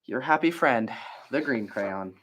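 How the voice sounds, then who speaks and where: A contented storybook crayon, read by a man, speaks politely and brightly in spirit, slightly formal. The intonation is animated and peaceable. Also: mid-range voice, measured pace, pitched below the performer's own voice, low-quality recording. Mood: cheerful polite. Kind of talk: children's picture book read-aloud.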